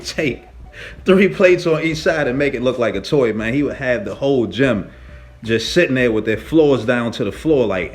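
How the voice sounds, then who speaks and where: Animated man telling a casual gym story. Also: medium pitch. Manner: animated, slangy, emphatic, profane. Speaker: fitness vlogger, powerlifter.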